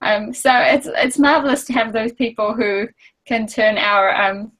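A woman's voice: on the high side, fairly bright tone, rough recording. New woman hosting a video call. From New Zealand. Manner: friendly, quick to laugh, uses fillers. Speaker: webinar host. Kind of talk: hosting a casual webinar interview. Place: small room at home. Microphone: earphone headset on a video call.

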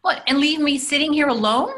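Animated, gently needling older wife, played by a woman. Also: high voice, a little fast, slight background noise. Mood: chiding. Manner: reasoning, dryly chiding. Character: placating, gently needling middle-aged wife. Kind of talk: readers theatre dialogue. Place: plain room indoors on a video call.

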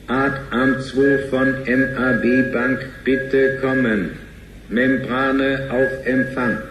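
A man voicing a cold, officious bank computer in a radio play, clipped, monotone and telegraphic. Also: medium pitch, slow pace, reverberant, music in the background, close to an everyday voice, rough recording. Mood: impersonal.

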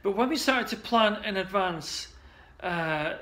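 A man's voice: sits high, lively intonation, slow pace, sharp tone. A man in his forties, a salon marketing coach, talking casually to a desk camera in an indoor office, chatty and upbeat, with plenty of restarts and "uh"s. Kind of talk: casual direct-to-camera pitch.

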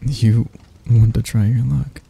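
A man playing a seductive, doting yet predatory castle monster in an intimate ASMR roleplay, close to the mic with a husky, gravelly voice and a slow, teasing manner. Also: mid-range voice, steady tone, heavily acted. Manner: slow, teasing, coaxing, with soft chuckles. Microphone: close microphone.

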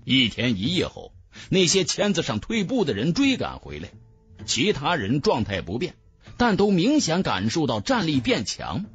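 A man's voice: fairly high pitch, huge pitch swings, moderate pace, breathy edge, dull audio. A man reading a fantasy novel aloud as dramatic scripted narration. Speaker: audiobook narrator. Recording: music under the voice.

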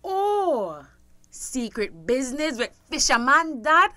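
Defiant young Belizean Creole daughter, high animated voice, radio drama. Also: female voice, slow pace, full character voice.

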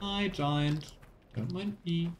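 A man's voice: fairly high pitch, takes it slowly, slight background noise. A young man giving casual, playful live commentary as he plays a video game, chatting to the game and viewers. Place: small indoor room at home. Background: game music.

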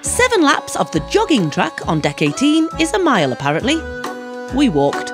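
Brief: woman narrator, somewhat high, very animated, wry tone. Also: normal speed, over music. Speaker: vlog narrator. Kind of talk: voice-over narration.

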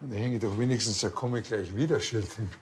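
A man's voice: on the low side, brisk, slight background noise, close to an everyday voice. An actor playing a blunt, wry small-town policeman in a TV crime comedy, casual and curt, with a Bavarian accent.

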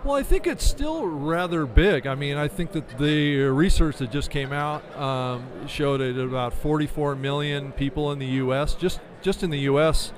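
Higher-pitched middle-aged man, explaining. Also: normal speed, few pauses. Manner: explanatory, often tags statements with "right?". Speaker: interview guest, podcast business manager. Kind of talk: conversational interview answers. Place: convention expo booth, indoors. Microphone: studio microphone on a desk stand.

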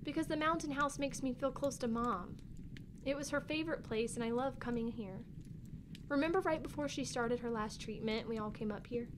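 A woman playing a sensitive, excitable young girl, a grieving little sister, in a family audio drama, with a childlike, openly emotional manner. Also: on the high side, normal speed, noisy background, close to an everyday voice. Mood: sad, confiding.

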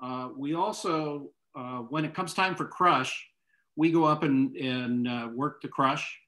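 An older man, a guest on a video call from home, explaining casually with hesitant pauses. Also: mid-range voice, sharp tone, rough recording. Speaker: winery owner, interview guest. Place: home room with bookshelves. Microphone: video call microphone.

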